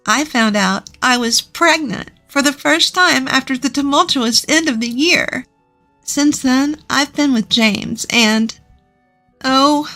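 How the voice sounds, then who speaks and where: A woman's voice: high voice, creaky, pushed and bright. A woman reading a scripted first-person story as its narrator.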